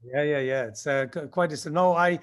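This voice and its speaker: A man in his sixties recalls decades-old events as an interview guest. His voice sits a little high, and he pauses and restarts often as he searches his memory. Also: clean tone. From Indonesia. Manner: reminiscing, halting with many fillers. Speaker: guest, skydiving instructor. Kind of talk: interview answers.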